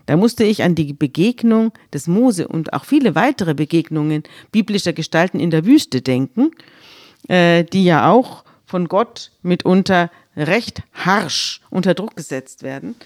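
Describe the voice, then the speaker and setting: A woman's voice: fairly low pitch, expressive, measured pace, darkish tone, dry recording, studio quality. A woman talking thoughtfully about a Bible story, recounting an anecdote and reflecting on it in a conversational discussion. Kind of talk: conversational religious podcast discussion.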